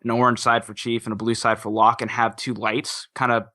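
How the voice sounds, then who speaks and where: Bright-voiced man in casual podcast chat. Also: mid-range voice, little pitch movement, brisk. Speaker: podcast panelist.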